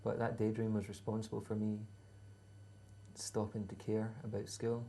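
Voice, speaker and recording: A man's voice: lowish voice, monotone, moderate pace, dark tone, a little hiss or hum. A Scottish man in his thirties, a musician answering interview questions in a laid-back, hesitant way with dry humour. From Scotland. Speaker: musician guest.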